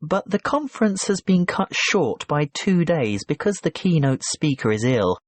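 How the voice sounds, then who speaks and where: A man playing a polite businessman checking into a hotel in a scripted listening-test dialogue, courteous and clearly spoken. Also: on the high side, very animated, unhurried, dry recording.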